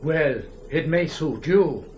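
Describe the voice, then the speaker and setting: An actor playing a wary, plain-spoken farmer in a radio horror drama. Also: male voice, fairly high pitch, expressive, slow, darkish tone, lo-fi sound, slight background noise.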